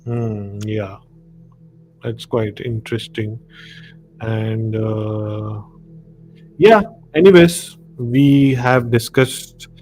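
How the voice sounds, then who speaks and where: A man's voice: mid-range voice, a little mellow. A man with an Indian accent speaking casually as the host of a live podcast discussion. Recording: clearly noisy live-stream audio.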